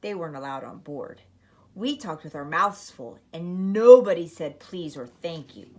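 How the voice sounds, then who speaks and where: A woman storyteller reading a children's picture book aloud with expressive, emphatic phrasing. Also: sits low, a little slow.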